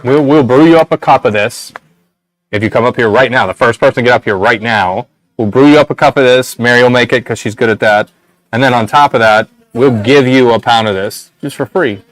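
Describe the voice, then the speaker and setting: A man's voice: mid-range voice, fairly quick, fairly bright tone, no echo, poor audio. A young man with a nasal voice, hosting a casual shop livestream and rambling through giveaway details with a lot of "right?".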